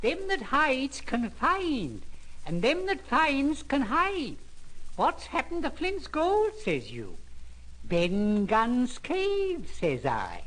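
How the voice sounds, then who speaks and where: Shrill half-crazed old castaway. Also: male voice, wildly swooping pitch, slow pace, fairly bright tone, heavily acted, rough recording. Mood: gleeful, sly. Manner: sing-song riddling, drawn out. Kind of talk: storybook record acting.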